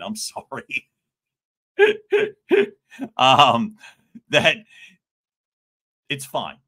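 A middle-aged man coaching on communication in a live stream, loud and expressive, joking with his viewers, speaking from a room at home into a studio mic. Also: lowish voice. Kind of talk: livestream coaching talk. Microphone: large studio mic in front.

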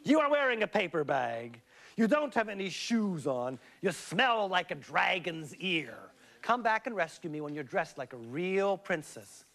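A vain, sneering young prince, as a storyteller puts him on, scolding in a prissy, much higher voice. He sounds snooty throughout. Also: very animated, unhurried, fairly bright tone, exaggerated voice.

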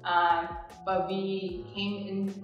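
A woman's voice: medium pitch, level tone, very slow, muffled recording. Young woman interviewer, distant and echoey. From Taiwan. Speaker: interviewer and channel host. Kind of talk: casual interview hosting. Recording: very echoey, distant from mic, faint music.

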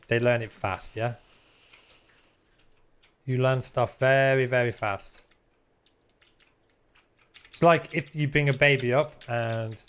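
Chatty man streaming a game. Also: mid-range voice. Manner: chatty, swears casually, reads chat aloud. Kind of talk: casual live-stream chat.

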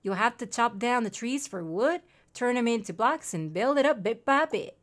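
A woman's voice: on the high side, expressive, moderate pace. Chatty young Mexican woman streamer. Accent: Mexican Spanish. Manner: chatty, reads character lines aloud, reacts with gasps. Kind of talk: reading game dialogue aloud while playing.

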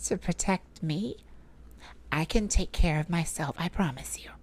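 A woman playing a tender, heartbroken but resolute mage in an emotional audio roleplay, speaking intimately and haltingly with a pleading manner. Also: fairly low pitch, a little mellow. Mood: anguished, disbelieving.

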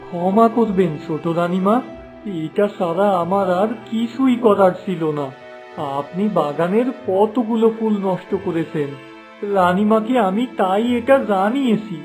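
Humble, pleading garden servant, high-pitched male actor. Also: a little slow, a little mellow, soft background music. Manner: pleading, aggrieved. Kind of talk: fairy-tale cartoon dubbing.

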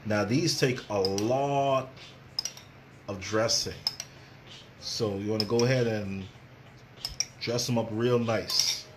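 A man's voice: on the low side, steady tone, slow, long pauses, background noise. A middle-aged man hosting a casual cooking demonstration, chatty and repeating phrases for emphasis. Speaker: chef and cooking show host.

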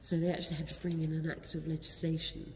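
A woman's voice: deep voice, flat delivery, fast. Woman guiding in an echoing, noisy church. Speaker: church warden acting as guide. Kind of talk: informal explanation to a visitor. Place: inside a church. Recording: reverberant church, clear background noise.

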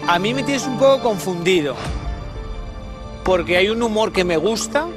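A man's voice: high-pitched, expressive, normal speed, music in the background. A man on a talent show's judging panel, asking teasing, probing questions of a contestant. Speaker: talent show judge. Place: TV studio judges' panel.

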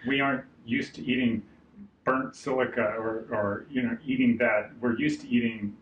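A man's voice: medium pitch, steady tone, moderate pace, echoey room, recorded from a distance, muffled recording, slight background noise. A middle-aged man hosting an interview, chatty and agreeable, sharing views on spiritual topics. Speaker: interview host. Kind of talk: casual interview chat. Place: small hotel room with lamp, indoors.

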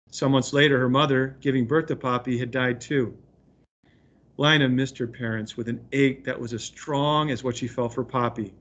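A man's voice: mid-range voice, slightly rough. A man speaking as an elementary school teacher, reading aloud and explaining to a remote class of children in an encouraging way.

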